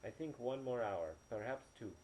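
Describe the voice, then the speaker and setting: A man's voice: medium pitch, lively intonation, normal speed, a little mellow, lightly acted. A man reading a story, voicing a practical, no-nonsense village midwife of sixty, firm and plain-spoken. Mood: impatient. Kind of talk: audiobook character dialogue. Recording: noisy, poor-quality home recording.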